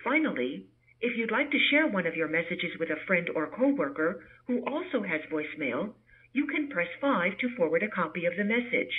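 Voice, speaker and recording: A woman's voice: lowish voice, sing-song, breathy edge. Woman on a tinny phone line, voicemail prompts. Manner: measured, helpful, soothing delivery. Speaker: voicemail system prompt announcer. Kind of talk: scripted instructional phone prompts. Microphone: telephone voicemail playback over VoIP line.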